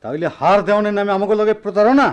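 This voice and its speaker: An actor playing an impatient, offended young bridegroom in a radio drama, with a rural Bangladeshi accent. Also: male voice, high-pitched, rapid-fire, natural voice. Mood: offended, hurried. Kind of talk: Bengali radio play acting.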